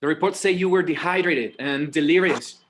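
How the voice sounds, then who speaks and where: A man's voice: on the high side, normal speed, fairly bright tone, close to an everyday voice. A man acting as an exasperated but dutiful forty-year-old son, hesitant and weary, in a naturalistic table read. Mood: weary, pleading.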